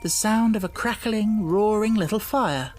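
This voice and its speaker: A woman reading an audiobook as narrator, with deliberate phrasing and expressive, dramatic emphasis. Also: mid-range voice. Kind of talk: dramatic scripted storybook narration. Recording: music bed under the voice. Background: music.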